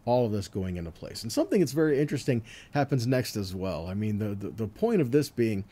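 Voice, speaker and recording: A man delivers an informal scripture teaching in a relaxed, warm-toned voice. His pitch is lively and varied as he moves between reading and explaining. He talks conversationally, checking in with short rhetorical questions. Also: quick pace. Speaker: Bible teacher giving a weekly scripture teaching.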